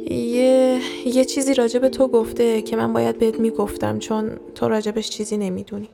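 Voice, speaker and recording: A woman's voice: on the high side, level tone, creaky, music in the background. A woman voicing a serious young friend who confides secrets earnestly and hesitantly in an audio story. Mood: grave, confiding.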